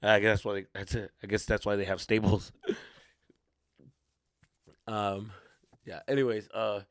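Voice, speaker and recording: A man's voice: on the low side, normal speed, dry recording. A Mexican-American man telling stories casually as a podcast host and comedian, slangy and sweary, slipping into Spanish and acting people out. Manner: animated, acting out people's reactions. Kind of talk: casual solo podcast storytelling.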